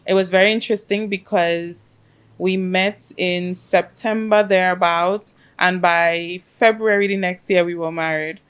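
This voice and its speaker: Chatty Caribbean woman, lowish voice. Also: steady tone, smooth. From Caribbean, grew up in Grenada. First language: Spanish. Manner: chatty storyteller, frequent "um" pauses. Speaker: guest, fintech project manager. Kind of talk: casual interview chat.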